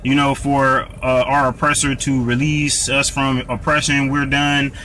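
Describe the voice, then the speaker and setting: A man's voice: medium pitch, flat delivery, unhurried, sharp tone, a little hiss or hum. An American man hosting a live Q&A, answering viewer comments in an earnest, preachy way, often restarting and repeating words. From United States. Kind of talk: live Q&A talk.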